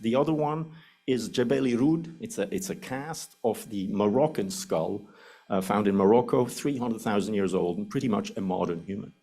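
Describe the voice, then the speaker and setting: A man's voice: mid-range voice, dark tone, breathy edge. Swiss-accented man giving a playful lecture. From Switzerland. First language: not English. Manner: playful, joking, explanatory. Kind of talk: public lecture.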